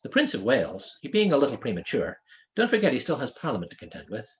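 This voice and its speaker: A man's voice: mid-range voice, huge pitch swings, fast, lo-fi sound. A man voicing a stern, commanding female ship's captain with clipped, formal authority in a fantasy audiobook. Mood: brisk, challenging. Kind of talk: fantasy audiobook character dialogue.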